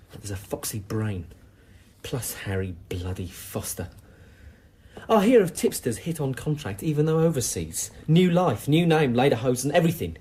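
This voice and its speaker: An actor playing a cocky, jittery criminal informant with a husky voice, in radio drama style. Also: male voice, medium pitch, warm tone. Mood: scornful, defiant. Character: cocky, jittery male police informant and small-time criminal. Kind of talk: naturalistic radio drama acting.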